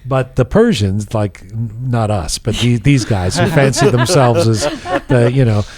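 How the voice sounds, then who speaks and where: A man hosting a podcast, joking and animated in casual chat and reading sponsor spots. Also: mid-range voice, a little slow, a loud crowd. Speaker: podcast host.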